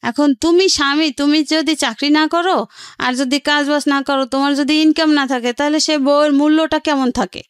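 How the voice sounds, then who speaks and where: A woman's voice: sits high, steady tone, moderate pace, no echo, clean studio sound. A woman with a Bangladeshi Bengali accent telling her own life story in a colloquial, rambling way, often quoting what relatives said. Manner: colloquial, rambling narration with quoted family speech. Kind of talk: personal storytelling in conversation.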